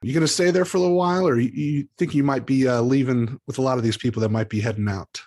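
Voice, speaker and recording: An upbeat man works as a podcast host, moving between show introductions and casual interview talk. His voice sits at a middling pitch, and his delivery is promotional and friendly in wording. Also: fast talker, a little mellow.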